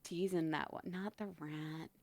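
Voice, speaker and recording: A woman's voice: deep voice, fairly even intonation, measured pace, darkish tone. A woman chatting casually as a livestream guest, with mock-indignant, playfully stubborn banter and casual swearing. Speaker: livestream guest, podcast voice performer.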